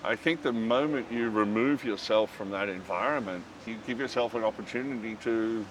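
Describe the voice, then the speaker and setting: A man's voice: on the low side, normal speed. A middle-aged Australian man telling stories in a casual interview, rambling and laughing often with lots of "you know"s. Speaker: interview guest. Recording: distant mic with city noise.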